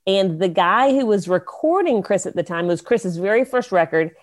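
A woman's voice: mid-range voice, animated, moderate pace. A woman from the Carolinas, a songwriter guest telling her story in an interview, bubbly and rambling with laughs.

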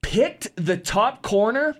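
A man in his twenties co-hosting a sports podcast in a relaxed, chatty way, with lots of fillers and easy laughs. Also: high voice, takes it slowly. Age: 20s. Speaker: podcast co-host. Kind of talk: casual sports podcast chat.

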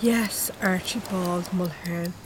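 A woman's voice: fairly low pitch, fairly even intonation, slow, noisy background. An actress playing an obliging Irish bingo-hall woman in an audio drama, with a simple, compliant manner. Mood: entranced, obedient.

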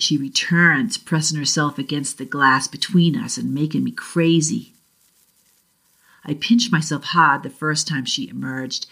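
A woman from Boston's South Shore with a Boston accent, reading her own first-person short story aloud in a measured, deliberate way. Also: deep voice, a bit bright.

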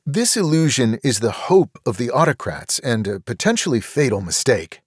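A man narrating a first-person nonfiction audiobook in a measured, earnest, deliberate way. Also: medium pitch, sing-song, husky, no echo, studio quality.

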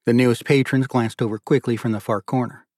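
A man's voice: mid-range voice, steady tone, fairly quick, darkish tone, dry recording, studio quality. A man narrating literary fiction as a scripted audiobook.

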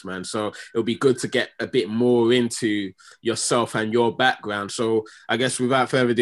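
A man's voice: fairly low pitch, fairly bright tone. Easygoing male podcast host. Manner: friendly, loose, many fillers. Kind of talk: podcast interviewing.

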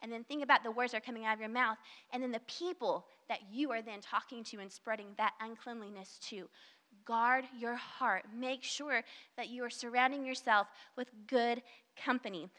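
A woman's voice: fairly high pitch, steady tone, normal speed, pushed and bright, in a large hall. A young woman with a Southern US accent, preaching an earnest, conversational sermon at a church service and reading scripture. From Southern United States. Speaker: guest speaker at a church service. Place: church sanctuary.

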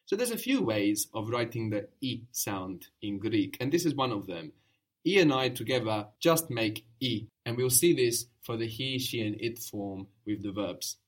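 A man leads a spoken language lesson at a patient, steady pace. He poses short prompts and answers each attempt with quick praise. His voice sits at an ordinary middle pitch. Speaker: language teacher.

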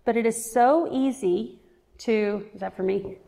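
Woman preacher in her forties, earnest and reflective, slightly gravelly, long church-hall reverb. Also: fairly high pitch, dark tone. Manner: earnest, reflective, slows down for emphasis. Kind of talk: sermon.

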